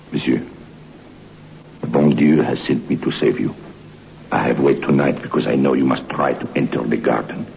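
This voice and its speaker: An actor playing a solemn, protective French hotel head waiter in a 1960s radio horror play, with a French accent and halting, formal, broken English. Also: male voice, rumbling low, vocal fry, exaggerated voice. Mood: hushed, earnest. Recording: dull, noisy old radio recording.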